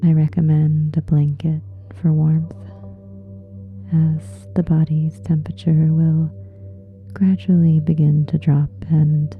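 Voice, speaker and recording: A woman softly guides a relaxation meditation in a breathy, soothing hush. Her delivery is very even and slow, with long pauses between short phrases. The sound is slightly dull and muffled. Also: low voice. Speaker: yoga nidra meditation guide. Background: soft meditation music with singing bowls.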